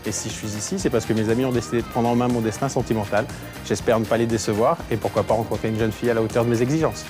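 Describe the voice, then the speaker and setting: Brief: young man, very fast self-intro, music bed. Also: mid-range voice, steady tone. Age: 20s. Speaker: contestant.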